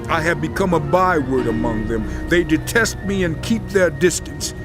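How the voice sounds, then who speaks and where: An actor playing a suffering righteous man in a dramatized audio Bible, with solemn, impassioned, measured delivery. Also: a little high, expressive, loud music behind the voice, close to an everyday voice. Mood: bitter, aggrieved.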